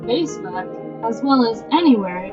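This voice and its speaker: Female promo voice, playful banter, higher-pitched, muffled recording over prominent music. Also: expressive, moderate pace. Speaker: podcast host. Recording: dull lo-fi promo with loud music.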